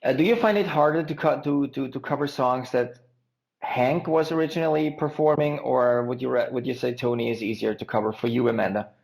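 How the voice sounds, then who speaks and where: A man's voice: mid-range voice, gravelly, darkish tone, rough recording. A man hosting a podcast interview, asking long questions with frequent stumbles and restarts. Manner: halting, stumbles over words. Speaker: podcast host.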